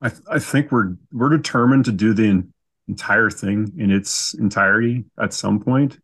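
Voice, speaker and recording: A Canadian man with a fairly low voice chats as a podcast guest. He tells his stories casually and in an easygoing way, happy to poke fun at himself. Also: moderate pace, fairly bright tone, breathy edge. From Canada, southern Saskatchewan. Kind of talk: casual storytelling in an interview.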